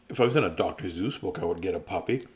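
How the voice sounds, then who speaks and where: A man's voice: low voice, animated, brisk, creaky. A man reading a children's bedtime story aloud expressively, with playful, theatrical delivery and chatty, casual asides.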